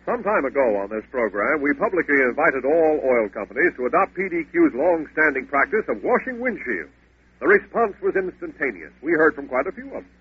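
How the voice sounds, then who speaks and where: A very husky man delivers a formal, mock-solemn commercial in old radio announcer style. The sound is thin and narrow, like a telephone line. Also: medium pitch. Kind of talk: scripted 1940s radio ad read.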